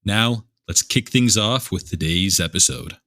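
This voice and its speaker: A man's voice: deep voice, measured pace, sharp tone, no echo. A man hosting a fan podcast, opening with an upbeat scripted welcome and dry jokes. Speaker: podcast host. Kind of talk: scripted podcast intro and casual chat.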